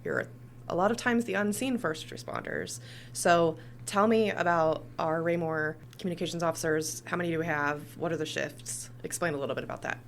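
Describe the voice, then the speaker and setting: A woman's voice: low voice, expressive, a little fast, a little hiss or hum. A woman hosting a conversational podcast interview, asking questions as a communications director.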